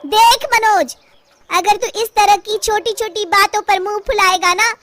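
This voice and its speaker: A woman's voice: very high-pitched, fairly even intonation, a little slow, very noisy, pitched above the performer's own voice. A woman voicing a bossy, easily offended elder sister in a cartoon story, scolding and threatening. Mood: indignant scolding.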